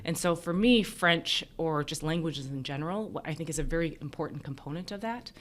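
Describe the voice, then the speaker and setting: Low female voice, thoughtful, explanatory, interviewed doctor, Mandarin first language, from the United States. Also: a little fast. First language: Mandarin Chinese. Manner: thoughtful, explanatory, hedging with "kind of" and "you know". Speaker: emergency physician being interviewed. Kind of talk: reflective interview conversation.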